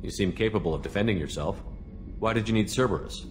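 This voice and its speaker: A man acting as a steady space commander in a game, with a deep male voice. He talks plainly and firmly, putting direct questions, while music plays clearly behind him. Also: fast talker, close to an everyday voice. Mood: probing, reassuring. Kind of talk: video game dialogue acting. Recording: background music under the voice.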